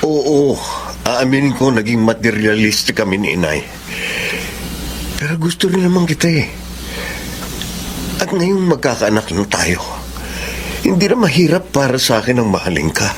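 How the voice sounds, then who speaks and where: A man's voice: mid-range voice, sing-song, moderate pace, long pauses, lightly acted. An actor playing an earnest, opportunistic young man, pleading his case in a radio drama. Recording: noisy ambient background behind voice.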